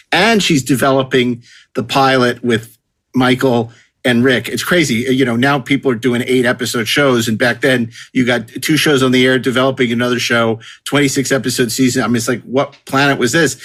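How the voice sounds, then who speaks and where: Male podcast host, mid-pitched, conversational, rambling into questions with repeated phrases. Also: fairly bright tone. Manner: rambling, repeats words while forming questions. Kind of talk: casual interview chat.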